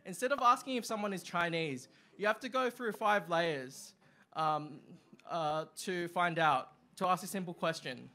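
Bright-voiced man doing stand-up. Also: high-pitched. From Australia. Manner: halting delivery with many ums and uhs. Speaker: stand-up comic. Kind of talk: open mic stand-up comedy. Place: small dark club stage. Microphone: stand mic, far camera.

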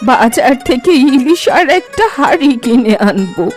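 A woman's voice: high voice, very animated, moderate pace. A woman voicing an old village granny in a cartoon, grumbling and musing to herself. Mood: pleased, musing. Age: older. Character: old village granny living alone, fond of food, grumbling. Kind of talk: Bengali cartoon voice acting. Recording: music behind the voice.

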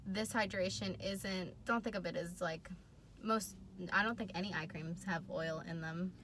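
A woman's voice: on the low side, normal speed, a bit bright, a little hiss or hum. A young woman in her twenties, chatting casually and rambling with lots of fillers, recorded in a parked car. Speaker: co-host. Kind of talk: casual chat. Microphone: phone camera on dashboard.

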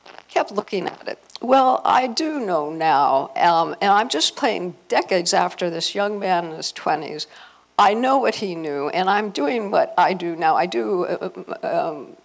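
Older American woman, creaky-voiced event speaker. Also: on the low side, animated, measured pace. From Los Angeles, United States. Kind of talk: informal public talk to an audience. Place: hotel conference room, indoors. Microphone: handheld microphone through PA.

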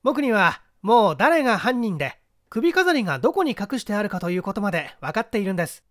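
A woman's voice: medium pitch, sing-song, a little fast, dry recording, studio quality, natural voice. A woman playing a clever, composed teenage boy detective, polite and self-assured, in an audio mystery story. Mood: confident.

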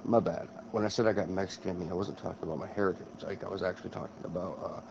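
A man's voice: sits low, steady tone, rapid-fire, creaky, lo-fi sound, background noise. A man co-hosting a racing game live stream, trading crude jokes in a laid-back way. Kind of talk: crude joking commentary over racing game.

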